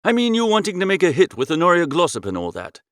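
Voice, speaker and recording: A man reads a comic novel in the first person. His voice is fairly high and very animated, breezy and theatrical, with a dry, close sound. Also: fast, no room sound. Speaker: audiobook narrator.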